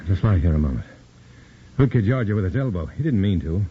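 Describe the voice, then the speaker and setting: A man's voice: deep voice, big pitch swings, fast talker, breathy edge, full character voice. An actor playing a grim undercover man in a 1940s radio drama, narrating in clipped, tough-talking first person. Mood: steady. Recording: loud hiss on a dull old radio recording.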